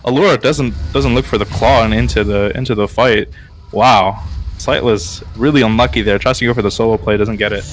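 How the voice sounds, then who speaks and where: Rapid-fire male play-by-play caster. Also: lowish voice, fairly even intonation, slight background noise. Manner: rapid, clipped call of the action. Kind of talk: fast esports play-by-play.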